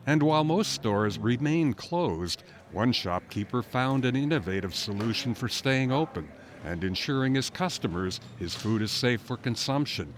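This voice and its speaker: Male news reporter over faint noise. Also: mid-range voice. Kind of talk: scripted news narration.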